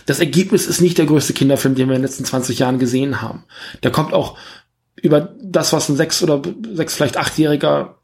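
Male podcast co-host, slightly higher-pitched, emphatic and opinionated film talk. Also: fast, a little mellow. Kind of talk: casual film review discussion.

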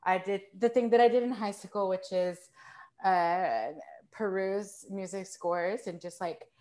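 A woman chatting as a podcast guest, telling stories in an animated, self-mocking way. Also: mid-range voice, unhurried. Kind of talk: casual conversational storytelling.

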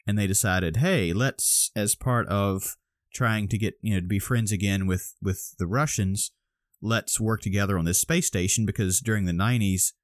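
A man's voice: lowish voice, normal speed, dry recording, studio quality. A man co-hosting a podcast, explaining a topic step by step in casual chat, a little groggy. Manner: explains step by step with many restarts. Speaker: podcast co-host.

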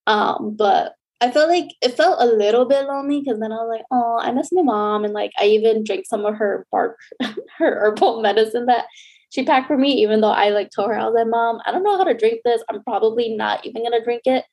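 A woman's voice: fairly high pitch, brisk, darkish tone. A young woman co-hosting a casual podcast, a bit stuffy from a cold, talking chattily with lots of "like". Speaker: podcast co-host.

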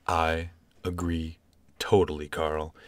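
A man's voice: a bass voice, level tone, slow pace, no echo. A man playing an agreeable, upbeat radio dating-show host in a comic audio sketch.

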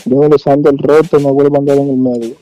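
A man's voice: mid-range voice, flat delivery, fast talker, warm tone, faint music behind. A man answering questions on a phone call, earnest and hesitant, repeating phrases. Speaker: prank call victim.

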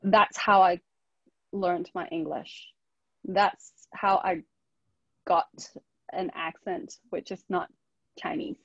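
Husky woman from Hong Kong. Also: fairly low pitch, fairly even intonation, slow pace, long pauses, a bit bright, no room sound, highs cut off. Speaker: interview guest. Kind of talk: casual personal storytelling.

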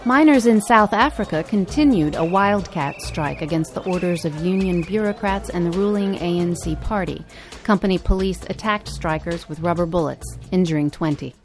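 A woman co-hosting a podcast, reading scripted news narration in a measured, clear delivery. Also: on the low side, faint music behind. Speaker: podcast co-host.

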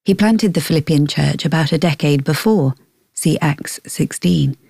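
Woman, low-pitched, mellow tone, scripted devotional narration, measured delivery. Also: gravelly, slightly rough, no room sound, clean studio sound. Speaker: podcast host and devotional reader.

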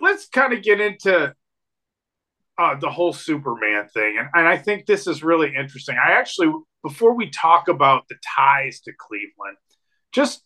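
Male podcast host, animated pitch, casual questioning style with a joking side. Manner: easygoing, asks questions and makes jokes.

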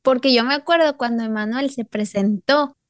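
A woman's voice: fairly high pitch, normal speed, no room sound, studio quality. A woman hosting a casual conversation, prompting guests and reminiscing with them. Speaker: host.

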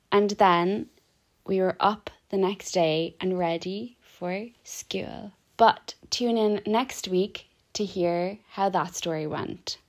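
A young adult woman hosting a podcast, telling a story in a chatty way with lots of "like". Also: medium pitch. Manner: chatty, lots of "like", laughs easily. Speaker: podcast host. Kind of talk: casual storytelling chat.

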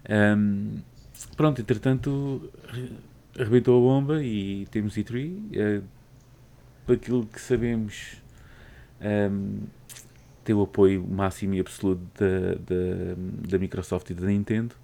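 Mellow-voiced Portuguese podcast host. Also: male voice, lowish voice, steady tone, measured pace, gravelly. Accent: European Portuguese. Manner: chatty, rambling with many fillers. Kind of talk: casual gaming podcast chat.

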